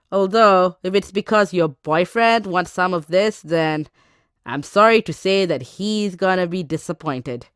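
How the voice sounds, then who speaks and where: A woman's voice: mid-range voice, fairly bright tone, no echo, clean studio sound. A woman acting a confident, easygoing, cheeky character returning a call in an audio drama. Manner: casual, teasing, self-assured.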